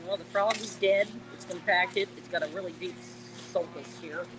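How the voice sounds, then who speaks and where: A woman's voice: on the low side, steady tone, moderate pace. A woman student explaining her hoof trim in a plain, matter-of-fact way. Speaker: workshop student. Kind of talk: casual explaining. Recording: noisy phone recording.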